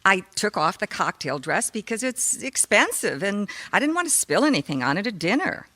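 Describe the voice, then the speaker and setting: A woman's voice: medium pitch, very animated, moderate pace, pushed and bright, dry recording. A woman acting a haughty, defensive murder suspect in a radio mystery, curt and scornful.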